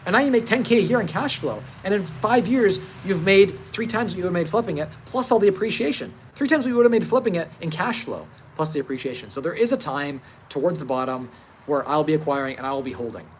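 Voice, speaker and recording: A man's voice: high-pitched, fast talker. A thirty-year-old man hosting a casual livestream about investing, speaking informally, emphatically and confidently. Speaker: livestream host, real estate investor. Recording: clear steady background ambient noise.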